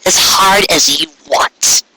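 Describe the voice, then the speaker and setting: A man's voice: high-pitched, slow, pushed and bright, pitched above the performer's own voice, heavily acted, rough recording. A man voicing a proud, stubborn young female unicorn magician in a dramatic, pleading way for a story reading. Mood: resigned.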